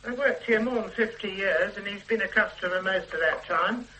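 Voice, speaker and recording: A man's voice: high voice, moderate pace, pushed and bright, slight background noise, rough recording. An actor playing a chatty shopkeeper in his sixties who knows everyone on the street, in a radio drama. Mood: gossipy. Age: 60s. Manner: gossiping, knowing. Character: chatty old male shopkeeper, gossipy. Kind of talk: 1970s radio drama acting.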